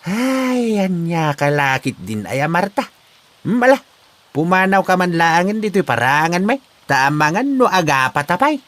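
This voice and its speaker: A man with an Ilocano Filipino accent playing a gruff, exasperated elderly village father in a comedy drama. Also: sits high, sing-song, a little slow, a bit bright, full character voice. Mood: exasperated, evasive. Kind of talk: amateur comedy drama acting.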